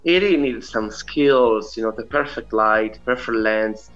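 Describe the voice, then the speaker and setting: A man's voice: on the low side, unhurried, fairly bright tone, muffled recording. A middle-aged man with an Italian accent talking casually as an interview guest, in a rambling manner peppered with filler phrases. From Italy. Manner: rambling, frequent "you know". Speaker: interview guest, indie musician.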